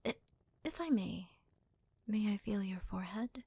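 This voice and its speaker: Woman as a breathy, slow-speaking devoted maidservant. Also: mid-range voice, animated, dry recording, full character voice. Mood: concerned, soothing. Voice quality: soft, breathy, often half-whispered. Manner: gentle, deferential, hesitant. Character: devoted, doting young female servant to her master. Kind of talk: ASMR roleplay.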